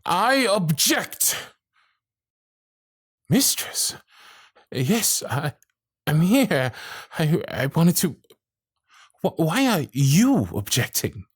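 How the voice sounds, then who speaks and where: A man's voice: on the high side, big pitch swings, drawn-out words, long pauses, husky, heavily acted. A man playing a devoted, earnest former butler in an ASMR audio drama, speaking tenderly. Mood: urgent, nervous.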